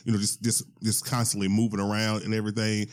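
A man hosting a casual interview, halting and repeating phrases as he works toward his questions. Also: fairly low pitch, level tone. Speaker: interviewer, host.

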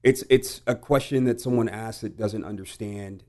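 A middle-aged American man telling personal stories casually, halting and reflective, with some swearing. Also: fairly low pitch, dark tone. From United States. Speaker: podcast guest. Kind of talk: casual conversational storytelling.

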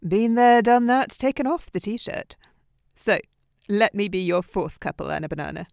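A woman's voice: mid-range voice, animated, normal speed, vocal fry, a little mellow, no echo, clean studio sound. An actress playing a self-assured, flippant woman with a breezy, sarcastic manner. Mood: teasing, breezy.

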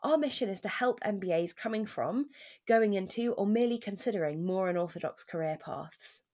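A woman co-host reading a scripted podcast introduction with a smooth, even delivery. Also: medium pitch, brisk.

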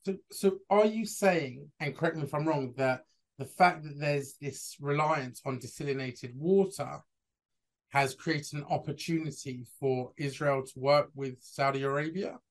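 A man's voice: fairly high pitch, unhurried. A man hosting a podcast interview, asking questions in a hesitant, apologetic way with many restarts. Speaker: podcast host.

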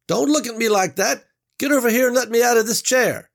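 Panicked bossy foreman, high strained shouting, read by a male narrator, rough edge. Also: animated, a little slow, heavily acted. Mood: angry shouting. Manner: shouting, frantic.

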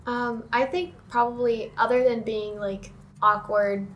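A young woman, a student actor, talking hesitantly in a casual chat and often pausing on "like" and "um". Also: a little high, fairly even intonation, fairly bright tone, slight background noise. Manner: hesitant, full of "like" and "um".

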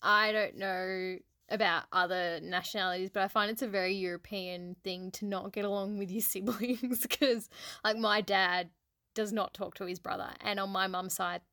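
An outgoing, loud woman whose was Hungarian, co-hosting a casual podcast chat and laughing often. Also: mid-range voice, steady tone, moderate pace, no room sound, studio quality. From Australia. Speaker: podcast co-host.

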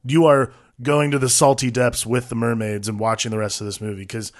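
Male co-host, mid-pitched, enthusiastic rambler, laughs a lot. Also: flat delivery, a little fast. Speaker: podcast co-host. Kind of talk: casual film podcast chat.

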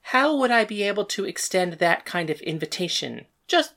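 A woman's voice: mid-range voice, sing-song, normal speed, a bit bright. A woman playing a bitter, defiant god of death in a first-person audio drama. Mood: probing.

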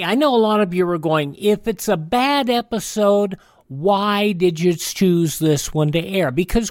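A man's voice: sits high, dry recording, studio quality. A man hosting a radio show with conversational, emphatic and candid commentary.

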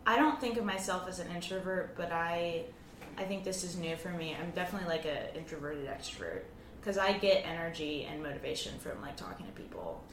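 A young woman co-hosting a casual podcast, with a loud voice and a loud laugh, swearing casually. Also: on the low side, lively intonation, brisk. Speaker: podcast co-host. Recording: echoey room with faint noise.

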